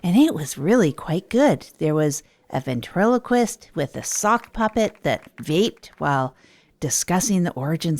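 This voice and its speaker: A woman's voice: on the low side, a little mellow. A woman playing a hapless amateur comedian, telling stories in a rambling, earnest, self-deprecating way for an audio drama. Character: Tog, hapless adult storyteller and failed stand-up comedian. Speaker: narrator and radio host. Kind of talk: improvised comic monologue in an audio drama.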